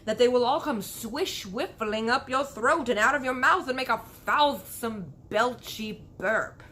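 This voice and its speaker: A woman voicing a big male storybook giant, excitable, proud and indignant, with muddled grammar and emphatic drawn-out exclamations. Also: a little high, pitched above the performer's own voice. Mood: lecturing.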